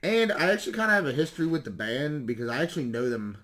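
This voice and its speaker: A man with a slightly hoarse voice chats casually and ramblingly as a video host showing off recent pickups. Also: fairly high pitch, big pitch swings, fast talker, fairly bright tone. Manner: rambling, self-correcting, mild swearing. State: slightly hoarse, losing his voice. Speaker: YouTube video game collecting host.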